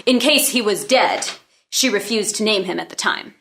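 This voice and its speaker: A woman's voice: medium pitch, moderate pace, a bit bright, slight background noise, natural voice. A woman playing a proud young noblewoman in disguise, formal and earnest in a play read-through. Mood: solemn resolve.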